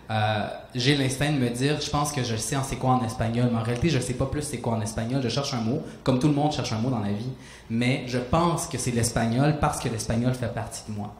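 Spanish-native man musing in a roomy hall. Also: mid-range voice. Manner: searching, restarts phrases, gestures while explaining. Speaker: author on a panel. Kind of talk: reflective panel talk. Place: small hall stage with audience. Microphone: handheld mic. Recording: roomy hall sound, faint noise.

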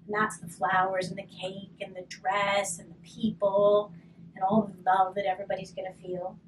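A woman in her thirties, a hospice volunteer, gently reading a storybook to children and then explaining a craft, her voice often dropping to a whisper. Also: medium pitch. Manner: soft, slow and gentle, often trailing to a whisper. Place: curtained room, indoors. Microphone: far camera. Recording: distant mic with faint room noise.

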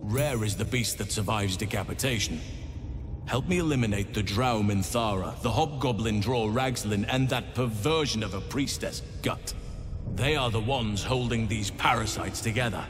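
A man playing a grave, wry elf druid warrior in a video game, measured and formal. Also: fairly low pitch, close to an everyday voice. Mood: grave, resolute. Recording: reverberant stone room, music behind.

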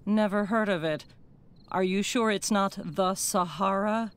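A woman's voice: mid-range voice, unhurried, smooth, natural voice. A woman voicing a sarcastic cat news anchor in a cartoon, presenting mock TV news with a mocking edge. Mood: scornful.

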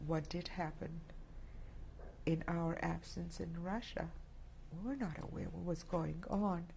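A Polish-born woman in her sixties, a native Polish speaker, giving reflective oral history testimony in a halting way, with many restarts. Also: fairly low pitch, steady tone, vocal fry, darkish tone, slight background noise. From Poland. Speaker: interviewee. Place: indoor studio set with plants.

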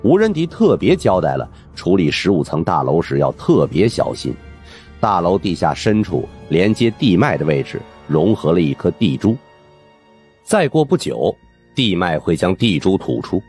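A man narrating a supernatural mystery audiobook in the first person, in an expressive storytelling style. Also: deep voice, normal speed. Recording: music under the voice.

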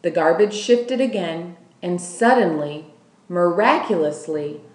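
A woman reading a children's novel aloud as a narrator, with deliberate, measured phrasing. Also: fairly low pitch, animated. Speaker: school staff member reading aloud. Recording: roomy, echoing room sound.

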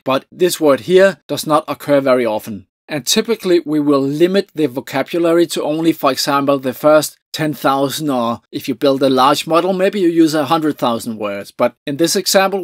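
A man with a Danish accent giving a calm, methodical coding lesson over a screen recording. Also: on the high side, dry recording, clean studio sound. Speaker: tutorial presenter.

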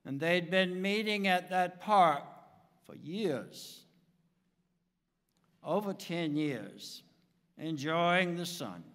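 An American man in his seventies preaching a sermon from a pulpit in a deliberate, emphatic manner with dramatic pauses. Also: sits high, lively intonation, a bit bright. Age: 70s. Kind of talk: sermon with anecdotes. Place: church sanctuary, speaking from the pulpit. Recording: reverberant church hall, long echo.

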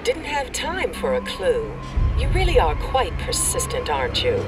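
A woman playing a sly, mocking master thief who taunts in an animated video game. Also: lowish voice, normal speed, far from the mic, over music. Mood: taunting.

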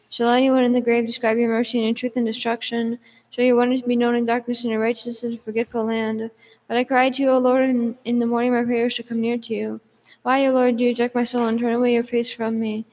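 Woman reciting psalms in a flat monotone. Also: a little high, rough recording. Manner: steady monotone recitation with occasional restarts. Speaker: reader of liturgical psalms. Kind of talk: psalm reading in English and Greek.

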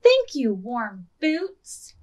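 A woman's voice: fairly high pitch, wildly swooping pitch, extremely slow, a little mellow, slight background noise. A woman in her thirties hosting a storytime as a children's librarian, talking expressively to small children as she reads aloud and gives simple instructions. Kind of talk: read-aloud and instructions for preschoolers.

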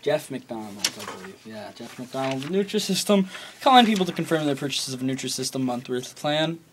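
Young American male prank caller, average pitch, casual and halting, lightly noisy home recording. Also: expressive. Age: teens or young adult. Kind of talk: casual, improvised prank phone call. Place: small room at a desk, indoors. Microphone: camera phone at arm's length. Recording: noisy amateur phone video with clicks.

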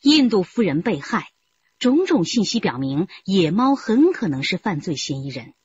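A woman narrating a radio crime drama in a measured storytelling manner. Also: medium pitch, sing-song, slightly rough. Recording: dull lo-fi broadcast audio.

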